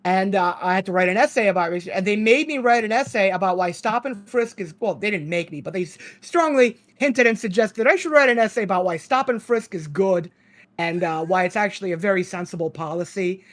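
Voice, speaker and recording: A man's voice: high voice, brisk, a bit bright. A man who grew up in Ukraine, a podcast guest in casual chat, rambling and sardonic, laughing often.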